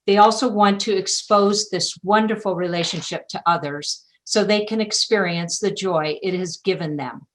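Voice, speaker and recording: A woman presenting at an online awards ceremony, reading a formal scripted speech in a measured way over a video call. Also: medium pitch, a little hiss or hum, low-quality recording. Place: video call from an indoor room. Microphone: video call microphone.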